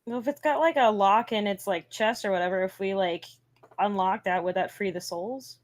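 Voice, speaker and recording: A woman's voice: mid-range voice, normal speed. A woman playing a tabletop game, talking casually with lots of "like" and casual swearing. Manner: casual, swears freely, says "like" often. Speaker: player. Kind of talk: casual tabletop play over voice chat.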